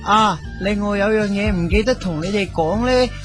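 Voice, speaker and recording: Chatty Cantonese radio host, fairly high voice, faint music bed. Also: male voice, moderate pace. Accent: Hong Kong Cantonese. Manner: jokey, chatty, stammering gags. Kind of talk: comic radio drama narration.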